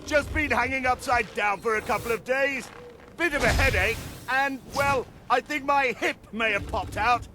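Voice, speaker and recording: A man's voice: very high voice, normal speed, bright, forceful tone, slight background noise. A man voicing a cheery older man in a game, with an understated manner. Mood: chipper. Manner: chipper, understated.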